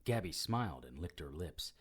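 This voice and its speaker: An actor playing a wry, self-mocking immortal occult investigator who narrates an audio drama in noir style. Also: male voice, low voice, animated, moderate pace, slightly rough, no room sound. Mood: hushed, tense. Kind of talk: scripted first-person audio drama narration.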